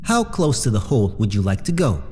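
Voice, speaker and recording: A man playing a calm, dutiful starship artificial intelligence in a sci-fi horror audio drama, measured, formal and precise. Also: fairly low pitch, slight background noise. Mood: calm, grave.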